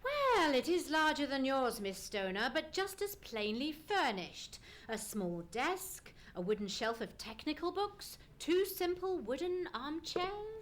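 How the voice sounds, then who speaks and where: A woman playing a keen, methodical male detective in an old-time radio play, musing and deliberate, humming as she ponders. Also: high voice, sing-song, fairly bright tone, exaggerated voice. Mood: keen, probing. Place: live theatre stage performance.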